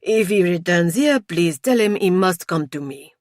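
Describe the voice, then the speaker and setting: A man's voice: sits high, lively intonation, normal speed, dry recording, clean studio sound. A woman playing a haughty, easily puzzled French male police inspector with a French accent and formal, stilted English in an audiobook. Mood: stern.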